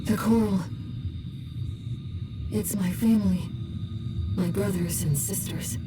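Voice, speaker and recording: A woman voicing a gentle, earnest bodiless spirit in a video game, speaking softly and solemnly in a measured, confiding way. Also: mid-range voice, steady tone, over music, natural voice. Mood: solemn confession. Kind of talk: video game voice acting, intimate confiding speech.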